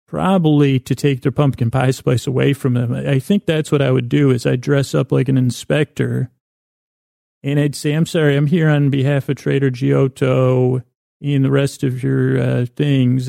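A man hosting a bedtime podcast in a meandering, halting monologue, repeating and tripping over words, whimsical and self-deprecating, with a creaky voice. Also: mid-range voice, warm tone, no room sound, studio quality. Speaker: podcast host.

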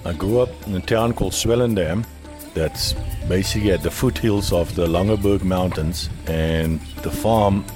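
A man's voice: sits low, normal speed, over music. A South African man, a native Afrikaans speaker, telling stories about his childhood as an interview guest.

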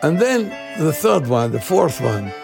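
A man in his eighties from Iraq with an Iraqi Arabic accent, a native Arabic speaker, telling personal memories in halting, repetitive phrases. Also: on the high side, very animated, creaky, over music. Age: 80s. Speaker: interviewee recalling his youth. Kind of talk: personal oral storytelling.

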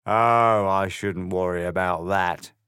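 A man's voice: sits low, steady tone, slow pace, clear, resonant tone, no room sound, clean studio sound. A man doing a blustering grinning cat. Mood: smug. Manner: blustering, loud and indignant. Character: smug grinning male cat, pompous political ranter.

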